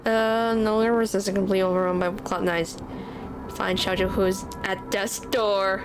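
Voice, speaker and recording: A woman's voice: medium pitch, flat delivery, moderate pace, low-quality recording. A woman streamer giving casual, reactive commentary on a game playthrough in an informal way. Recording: game music behind the voice.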